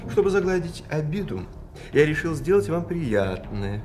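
A man's voice: on the high side, very animated, moderate pace, a little mellow. An actor playing a petulant, suspicious, giggling French king in a radio drama, with a theatrical, capricious manner. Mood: smug, pleased. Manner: theatrical, capricious, mood-swinging.

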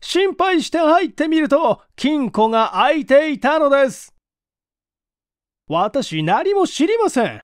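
Man acting a high-voiced sneering foreign schemer. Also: big pitch swings, no room sound, clean studio sound, exaggerated voice. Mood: cool, evasive. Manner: halting, broken non-native Japanese phrasing. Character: foreign male thief, sneering, feigning innocence.

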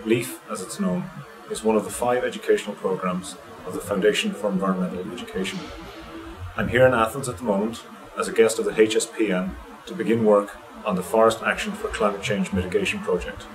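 A man in his thirties, a programme director, giving a steady, measured statement straight to camera outdoors. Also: fairly low pitch, crowd noise, rough recording. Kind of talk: scripted interview to camera. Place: outdoors by a building with shrubs. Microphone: close mic, framed head and shoulders. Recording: music bed under the voice.

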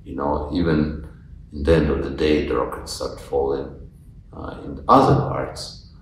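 A Ukrainian photojournalist with a Ukrainian accent, answering interview questions in halting English with many fillers. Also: male voice, very deep voice, little pitch movement. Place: large conference room indoors. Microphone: off-camera mic, seated medium shot. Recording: very echoey room, faint hum.

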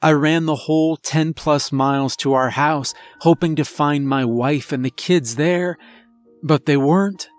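A man voicing a remorseful werewolf husband and father confessing in a grave, halting, emotional horror story. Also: on the high side, close to an everyday voice. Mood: anguished, regretful. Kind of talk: dramatic first-person horror storytelling. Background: background music.

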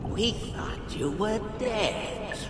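A man's voice: high voice, lively intonation, reverberant, in a large hall, heavy background noise, full character voice. An actor playing a scornful, gloating villain in a video game, taunting his foe with contempt. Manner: slow, contemptuous. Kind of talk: dramatic video game acting.

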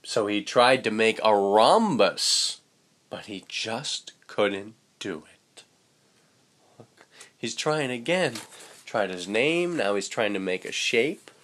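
A man's voice: on the low side, slow. A young man reading a picture book aloud playfully, with casual asides to children. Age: young adult. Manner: playful, expressive. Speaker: children's storybook reader. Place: indoors, small room with curtain.

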